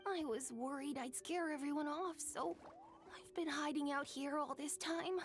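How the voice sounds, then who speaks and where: A woman's voice: sits high, normal speed, soft background music, lightly acted. A woman voicing a shy, hopeful young dragon in a game, with a sweet, childlike manner.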